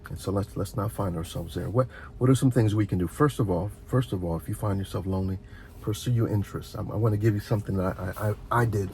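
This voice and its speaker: A man with a dark, fry-laced voice speaks over a faint low rumble. He talks off the cuff like a video host giving advice, addressing the listener directly and earnestly. His delivery is halting, with repeated words and fresh starts. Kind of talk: rambling, unscripted advice talk.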